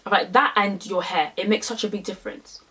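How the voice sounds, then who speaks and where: A woman's voice: mid-range voice, fairly quick, pushed and bright, breathy edge, far from the mic. Chatty young London woman, off-mic. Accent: London English. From England. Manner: casual, chatty, many fillers. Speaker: beauty vlogger. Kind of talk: makeup tutorial chat.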